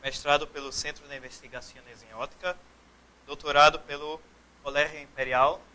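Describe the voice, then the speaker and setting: A man acting as host, reading a formal introduction of a guest speaker in a brisk, formal manner. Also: fairly high pitch, flat delivery, pushed and bright, a little hiss or hum, rough recording.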